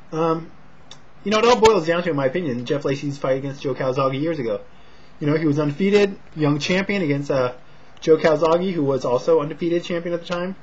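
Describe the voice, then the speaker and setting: An American man giving a casual, low-key and hesitant fight preview, not loud and slightly short of breath. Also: slight background noise. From Sacramento, California, United States. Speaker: amateur boxing commentator and vlogger. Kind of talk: casual talk to camera, fight preview and prediction. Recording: dull, lo-fi home recording.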